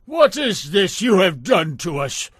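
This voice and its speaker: A man's voice: sits high, big pitch swings, a little slow, a bit bright, husky, no room sound, studio quality, pitched above the performer's own voice, exaggerated voice. A man playing an emphatic, declamatory ancient king in a dramatized audio Bible. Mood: outraged, accusing. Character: indignant ancient king, pleading and accusing.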